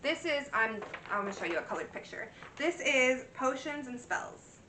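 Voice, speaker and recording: A woman's voice: high-pitched, expressive, moderate pace, bright, forceful tone, a little hiss or hum. A woman in her thirties chatting casually and rambling as she shows her craft projects, her voice a little worn. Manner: rambling, chatty, full of fillers and restarts. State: slightly worn voice. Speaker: cross-stitch vlogger. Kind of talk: casual show-and-tell chat. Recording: echoey room, mic at a distance.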